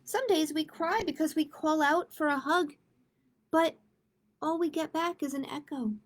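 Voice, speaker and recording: Slow, higher-voiced woman reading to children. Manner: expressive, chatty, addresses young listeners directly. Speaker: library storytime host reading picture books. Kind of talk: children's read-aloud with casual chat.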